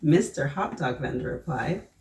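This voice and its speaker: A woman reading a children's story aloud, pausing to prompt young listeners with questions. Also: sits low, lively intonation, a little mellow. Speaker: children's storybook reader and host. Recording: roomy echo, distant mic, faint noise.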